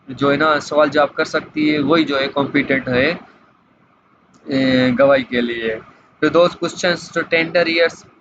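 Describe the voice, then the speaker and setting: A man lectures on law in a sharp, slightly raised voice. He explains point by point, often asking a question and then answering it. The recording is a little noisy. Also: fairly high pitch. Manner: repetitive, question-and-answer explaining, switches between reading and paraphrase. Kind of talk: explanatory lesson, reading statute aloud and explaining. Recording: dull lo-fi mic with light background noise.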